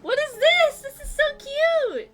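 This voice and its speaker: A woman giving casual, excitable, sweary live commentary as she streams a game. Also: extremely high pitch, a little slow. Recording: game music behind.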